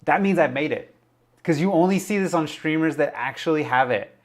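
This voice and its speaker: A man casually hosting a livestream, rambling, laughing often and reading out viewer chat, his voice a little raspy. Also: fairly high pitch, a little fast. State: slightly raspy voice. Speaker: livestream host.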